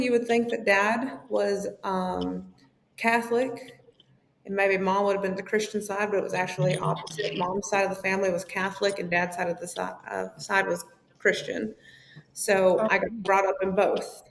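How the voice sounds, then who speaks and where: Podcast guest: Southern woman from Tennessee, gravelly, open and chatty, recorded in a roomy space. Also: medium pitch, a little hiss or hum. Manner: open, chatty, laughs often, many ums. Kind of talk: casual interview chat. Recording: echoey room, faint ambience.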